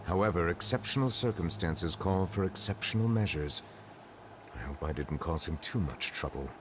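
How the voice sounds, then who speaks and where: A man's voice: sits low, expressive, background noise. An actor playing a dignified, reserved male chief judge in a video game, speaking formally and with composure. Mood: composed. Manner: formal, measured wording. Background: game music.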